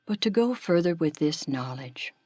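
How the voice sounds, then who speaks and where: A woman voicing a patient, sensible female animal guide in a storybook, measured, formal and gently admonishing. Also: sits low, lively intonation, no room sound, studio quality. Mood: solemn.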